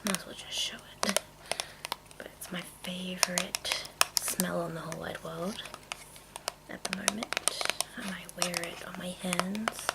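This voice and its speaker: Woman whispering slowly, creaky ASMR. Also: fairly low pitch, steady tone, heavy background noise. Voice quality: breathy whisper. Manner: hushed, rambling, unhurried. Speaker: ASMR video maker. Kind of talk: ASMR, casual product show-and-tell.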